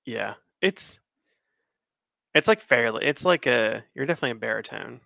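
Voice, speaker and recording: Podcast co-host, male, relaxed and agreeable, slight fry, dry close mic. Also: medium pitch, moderate pace, dry recording. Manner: easygoing, agreeable, laughs often. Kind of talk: casual chat over beers.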